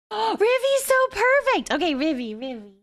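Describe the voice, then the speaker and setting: A woman's voice: very high voice, very animated, measured pace. A woman with a Canadian accent chatting casually to viewers on a livestream, effusive and affectionate, often repeating words for emphasis. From British Columbia, Canada.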